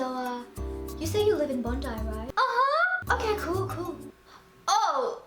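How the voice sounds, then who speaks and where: A young woman from Russia telling a storytime in casual chat, full of "like" and lively quoted voices. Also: roomy sound. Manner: chatty, fills speech with "like", jumps high for quoted voices. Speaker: vlogger.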